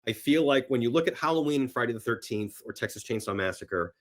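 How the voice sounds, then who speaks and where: A middle-aged man talking casually as a podcast guest, animated in his storytelling and repeating phrases for emphasis. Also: mid-range voice, quick pace. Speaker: podcast guest, horror film screenwriter and actor. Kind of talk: casual film chat.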